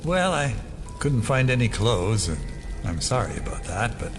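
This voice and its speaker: A man's voice: lowish voice, sing-song, normal speed, over music. An actor playing a sly, fatalistic cloned man, speaking in a casual, wry, teasing way in an audio drama. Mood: smug, resigned.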